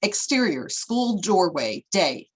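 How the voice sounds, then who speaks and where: A woman's voice: medium pitch, sing-song, slow, husky, low-quality recording. A woman narrating stage directions for a screenplay table read in a scripted reading style.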